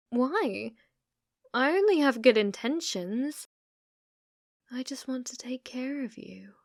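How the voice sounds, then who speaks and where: A woman's voice: a little high, vocal fry, a little mellow, dry recording, heavily acted. A British woman playing an obsessive, delusional young female stalker in an ASMR roleplay, intimate and sweetly menacing, close to the microphone. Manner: intimate, unhinged, swinging between whispers and intense pleading.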